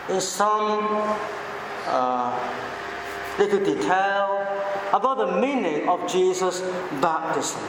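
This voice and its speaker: A man with a Cantonese accent, a native Cantonese speaker, preaching a sermon in short, deliberate phrases in a large church hall. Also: high-pitched. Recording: echoey hall with background noise.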